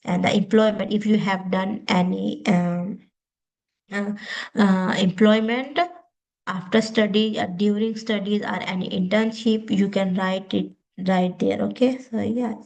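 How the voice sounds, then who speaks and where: A woman with a Pakistani accent, a native Urdu speaker, giving an informal step-by-step tutorial in a halting, repetitive way with frequent okays. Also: medium pitch, fairly even intonation, vocal fry, darkish tone. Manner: halting, repetitive, often says okay. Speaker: tutorial host.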